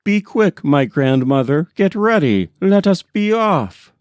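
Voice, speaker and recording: A man's voice: a little high, sing-song, slow, clear, resonant tone, dry recording, voice pushed up. A man voicing a fearful grandson hero of a folk tale, exclaiming urgently. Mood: frightened urgency.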